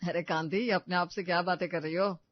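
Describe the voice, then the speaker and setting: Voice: mid-range voice, fairly even intonation, brisk, no room sound, highs cut off, lightly acted. A performer voicing a kind, reassuring young husband in a family story. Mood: caring.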